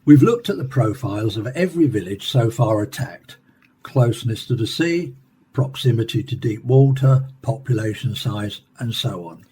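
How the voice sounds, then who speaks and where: A man plays an academic expert in a science fiction radio play. His voice is mellow and mid-pitched, and he explains things in a formal, deliberate way. There is faint background noise around him. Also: animated, lightly acted. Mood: earnest, explanatory. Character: measured male Cambridge professor explaining alien attacks.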